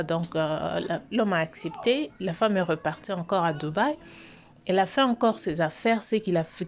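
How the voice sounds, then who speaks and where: A woman's voice: fairly low pitch, darkish tone. A woman hosting a story channel, telling a story casually with many fillers and restarts. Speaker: online storyteller host. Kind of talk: casual spoken storytelling to subscribers.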